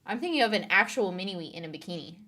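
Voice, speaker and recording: A woman's voice: mid-range voice, lively intonation, very fast, pushed and bright. A woman streamer chatting casually on a live stream, reading viewer comments aloud and laughing along.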